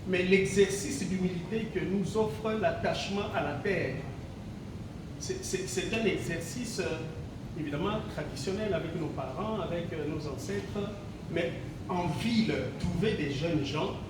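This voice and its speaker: A man's voice: high voice, a little slow. A man in his sixties from Congo, a teacher giving a reflective gala speech, hesitant and full of fillers and repeated words. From Congo (DRC). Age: 60s. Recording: very echoey hall, distant mic, background noise.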